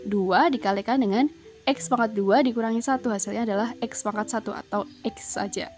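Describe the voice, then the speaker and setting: A woman teacher with an Indonesian accent, calmly working through a math lesson step by step. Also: mid-range voice. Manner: calm, methodical, explains each step aloud.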